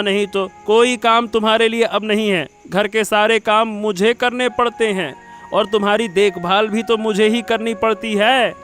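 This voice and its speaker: A man's voice: high voice, fairly bright tone. A man voicing a put-upon, exasperated grown son in a cartoon moral story, complaining impatiently. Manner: complaining, repeats words impatiently.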